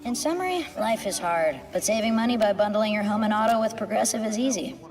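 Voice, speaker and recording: An actor, a man, playing a blunt graduation speaker giving a deadpan comic speech in a TV ad. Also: high-pitched, fast talker. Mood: blunt, mock-solemn. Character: graduation speaker, bluntly listing life's hardships. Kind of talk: comedic insurance ad speech.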